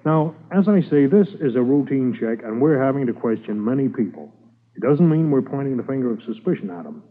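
An actor playing a polite, dogged Mountie police sergeant, formal and businesslike, in a 1950s radio drama. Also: male voice, medium pitch, animated, fairly quick, close to an everyday voice. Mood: polite, probing. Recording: noisy, tinny 1950s radio recording.

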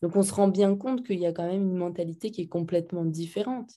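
A woman interviewer with a low, warm, mellow voice. She asks open questions and chats easily, agreeing often with short interjections. Her tone stays relaxed and conversational throughout. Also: fast. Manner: chatty, agreeing backchannels. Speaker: podcast host, interviewer. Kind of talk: casual podcast conversation.

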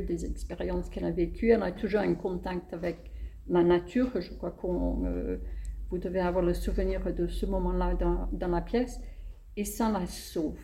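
Slow, mellow-voiced woman with non-native French accent. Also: on the low side. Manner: hesitant, thoughtful, many pauses. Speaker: playwright. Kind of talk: interview answers.

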